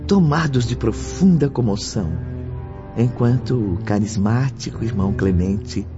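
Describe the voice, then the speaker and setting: A man's voice: mid-range voice, unhurried. A man with a Brazilian accent narrating a scripted radio drama. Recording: dull audio under loud music.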